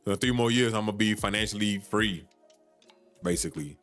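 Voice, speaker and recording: A man's voice: on the low side, fairly quick, a bit bright, faint music behind. A man in his early thirties hosting a podcast, giving casual money advice in a loose, slangy way, with many fillers and restarts. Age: 30s. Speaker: podcast host. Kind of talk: casual finance talk.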